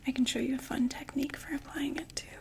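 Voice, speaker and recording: Woman whispering asmr as a friendly makeup saleslady, gentle n soothing, kinda high with lots of vocal fry. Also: steady tone, fast talker, noisy background. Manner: gentle, soothing, repeats words softly. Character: friendly female vampire door-to-door makeup saleswoman. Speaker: ASMR roleplay performer. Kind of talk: whispered ASMR personal-attention roleplay.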